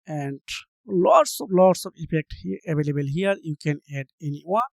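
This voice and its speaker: High-pitched man narrating a software tutorial. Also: creaky, no echo, studio quality. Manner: halting non-native English phrasing. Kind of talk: step-by-step screen tutorial narration.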